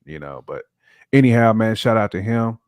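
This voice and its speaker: A man's voice: fairly low pitch, expressive, normal speed, darkish tone, clear, resonant tone, no echo, clean studio sound. A man co-hosting a podcast, chatting casually in slang peppered with filler catchphrases. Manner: slangy, peppered with "you know what I'm saying". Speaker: podcast co-host.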